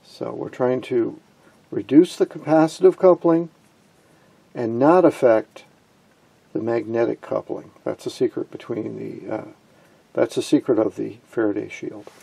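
Gravelly, mellow man explaining electronics. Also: medium pitch, a little slow. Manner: informal, explanatory, posing questions then answering. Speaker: electronics hobbyist presenter. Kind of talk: hands-on tutorial explanation.

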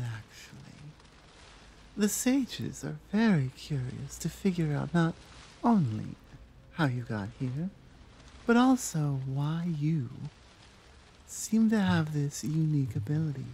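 A woman playing a caring, earnest tigress monk in a soft-spoken ASMR roleplay, with a breathy, measured, confiding delivery. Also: low voice, slight background noise, exaggerated voice, poor audio. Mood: reassuring, explaining.

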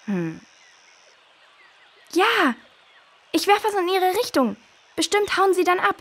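A young girl in a children's radio adventure. She is curious and easily worried, with a high voice and lively, swooping intonation as she thinks aloud. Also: takes it slowly, full character voice. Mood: worried, determined.

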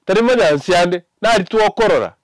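A young Kenyan man with a Kenyan accent, giving animated casual commentary to camera from a small indoor studio, with many fillers and mixed languages. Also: high voice, quick pace, dry recording. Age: young adult. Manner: animated, mixing English and Ekegusii, many fillers.

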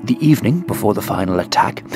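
An actor playing a brooding crusade veteran, telling his war in a measured, ominous first-person storytelling style. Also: on the low side, animated, vocal fry. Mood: measured, grim. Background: music.

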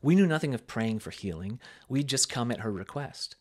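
A man reading a sermon aloud with a measured, emphatic preaching cadence. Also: mid-range voice, lively intonation, a little mellow.

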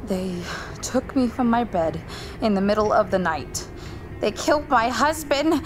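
A woman's voice: fairly high pitch, expressive, unhurried, slight background noise. An actress playing a beaten, terrified female hostage pleading and stammering. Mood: desperate.

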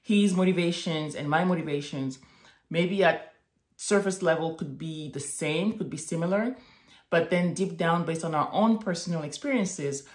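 A woman's voice: fairly low pitch, a little mellow. A woman in her thirties from Morocco, talking thoughtfully to camera in a home office, often checking in with "right?" and "okay?". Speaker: vlogger. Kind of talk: reflective casual monologue to camera. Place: home office with bookshelves, indoors. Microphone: webcam at desk distance. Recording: echoey room.

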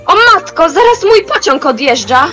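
A young woman playing an old grandmother in an amateur audio drama, in a halting, theatrical manner. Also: high voice, big pitch swings, music in the background. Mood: excited relief. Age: young adult. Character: grumpy old grandmother turned remorseful and joyful.

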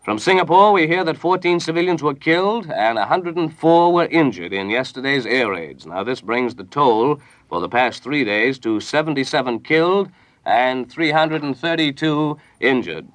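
An American man delivers news in 1940s radio style. His reading is formal and measured, at a medium pitch. The studio sound is dry, with no room around the voice. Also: animated, fairly bright tone, no echo.

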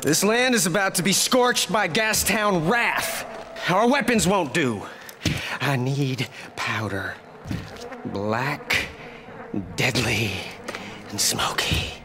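Slow, bossy wasteland warlord. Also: male voice, on the high side, background noise. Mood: commanding, scheming. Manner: emphatic, savouring words, bossy. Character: bullish, commanding wasteland warlord striking bargains. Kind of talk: dramatic video game character acting.

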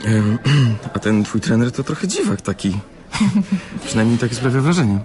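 An actor playing a smooth-talking, flirtatious chess runner-up in a radio drama, flattering and repeating his words. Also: medium pitch, moderate pace, loud music behind the voice, lightly acted. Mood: relaxed, amused. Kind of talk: Polish radio drama acting.

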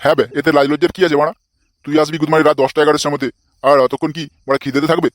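A man acts out a crude, lecherous old father-in-law from a comedy cartoon. He talks extremely fast in a dry, close sound and a fairly high male pitch, blustering and swearing. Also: level tone, dry recording, close to an everyday voice. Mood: angry, scolding. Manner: foul-mouthed, blustering. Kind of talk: comic cartoon dialogue.

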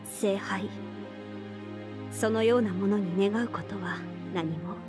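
A woman playing a devoted young half-oni samurai archer in a mobile game, speaking formal, reverent Japanese in a soft voice. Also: mid-range voice, steady tone, slow, close to an everyday voice. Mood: wistful, grave. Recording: studio voice over game music.

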